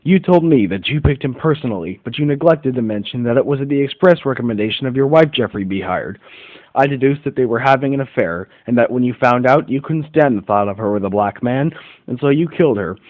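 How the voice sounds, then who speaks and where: A man's voice: mid-range voice, expressive, fairly quick, dry recording, narrow, tinny audio, lightly acted. A man playing a confident, observant detective in an amateur radio play, with formal, instructive phrasing. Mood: accusing. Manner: formal, didactic, declarative phrasing. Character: confident, observant male detective in 1940s America.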